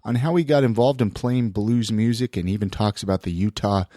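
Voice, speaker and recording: A man's voice: lowish voice, fairly quick, dark tone, no echo, studio quality. An American man hosting a podcast in a casual, chatty style, slightly congested from a head cold. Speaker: podcast host. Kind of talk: casual podcast chat and sponsor reads.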